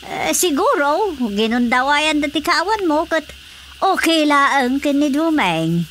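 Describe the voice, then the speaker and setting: An actress playing a gossipy, reassuring older village wife in an Ilocano radio drama, with an Ilocano accent. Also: female voice, high-pitched, big pitch swings, takes it slowly, a bit bright, slight background noise, exaggerated voice.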